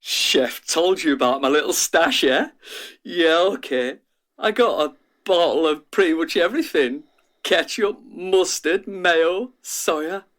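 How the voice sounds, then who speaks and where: A man's voice: fairly high pitch, slow pace, fairly bright tone. An actor playing an eager, down-to-earth man in a radio drama, chatty and relishing each phrase. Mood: chummy. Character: eager, down-to-earth man savouring every taste. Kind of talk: audio drama acting.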